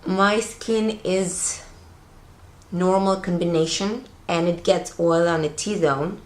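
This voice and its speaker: Slow, relaxed woman with a low-ish voice. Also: echoey room. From Latvia. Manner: relaxed, rambling, with fillers like "like" and "um". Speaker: beauty vlogger. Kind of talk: chatty makeup tutorial. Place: living room, on a sofa, indoors.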